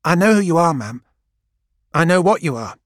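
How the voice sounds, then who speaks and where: Man playing an earnest, pleading young woman; higher, raised voice, dry audiobook sound. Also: lively intonation, moderate pace, dry recording, lightly acted. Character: young woman wagon guide, earnest and tearful.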